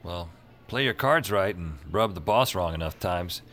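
Tired sardonic noir narrator, deep voice, deadpan, little bit of noise. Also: male voice, moderate pace. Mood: deadpan, dry. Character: wry, weary male noir 911 dispatcher narrator. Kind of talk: first-person noir narration, fiction podcast acting.

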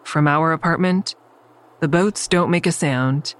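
A woman's voice: low voice, a little slow. A woman playing a wary, wry character who narrates her own story in an audio drama, in a confiding way. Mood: descriptive, calm.

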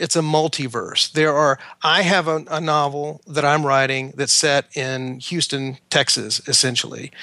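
Male author guest, higher-pitched and bright, hedging explainer. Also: no room sound. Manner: hedging, measured, with fillers. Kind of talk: podcast conversation.

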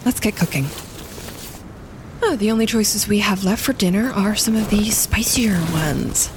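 A woman playing a practical wildlife photographer on a winter camping trip in a naturalistic audio drama, speaking in a hushed, matter-of-fact, low-key way. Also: a little slow, close to an everyday voice. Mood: subdued, practical. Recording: clear outdoor ambience noise behind.

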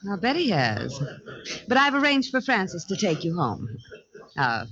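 Arch, very expressive girlfriend. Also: mid-range voice, normal speed. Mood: arch jealousy. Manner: arch, pointed. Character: jealous, dryly teasing girlfriend of a detective. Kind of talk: 1940s radio drama acting. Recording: dull old radio, loud crowd behind.

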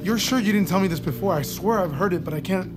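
A woman's voice: fairly low pitch, fast talker, lightly acted. Actress as a woman doctor, uneasy and defensive, natural drama delivery, faint music behind. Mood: unsettled, doubtful. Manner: hesitant, defensive, probing. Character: uneasy, guarded doctor taking a report. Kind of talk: naturalistic audio drama acting.

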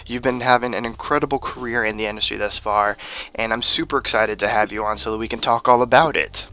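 A man's voice: mid-range voice, steady tone, sharp tone. A man hosting an interview show, asking questions in an upbeat, enthusiastic way. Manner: enthusiastic, quick to say "fantastic" and "awesome". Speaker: interview host.

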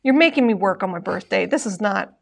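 A woman's voice: medium pitch, animated, brisk, vocal fry, muffled recording, slight background noise. A woman in her forties co-hosting a live stream, chatty and laughing often as she reads out viewer messages. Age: 40s. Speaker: co-host reading chat. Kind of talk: live-stream casual chat.

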